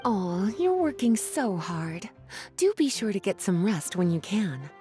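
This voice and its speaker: A flirtatious grown-up mentor speaks at a middle pitch with lively, swooping intonation. She teases in a coy drawl, in polished game cutscene style over faint music. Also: a little slow. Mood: doting. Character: languid, teasing, nurturing grown-up woman, flirtatious mentor. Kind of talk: video game cutscene acting.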